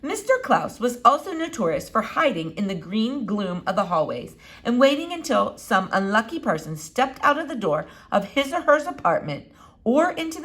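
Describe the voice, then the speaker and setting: A woman reading a children's novel aloud in an expressive, animated way with dramatic pauses. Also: a little high. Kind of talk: expressive storybook read-aloud.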